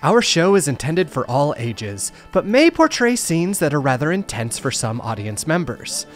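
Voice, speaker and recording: A man's voice: fairly high pitch, very animated, normal speed. A man working as an announcer, delivering a scripted introduction to an audio show. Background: music.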